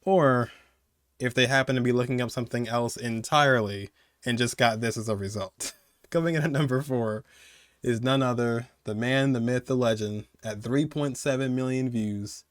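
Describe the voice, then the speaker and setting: A man's voice: mid-range voice, normal speed, dry recording. A man giving casual, slangy, conversational commentary as host of a video game countdown. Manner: slangy, conversational, chuckling asides.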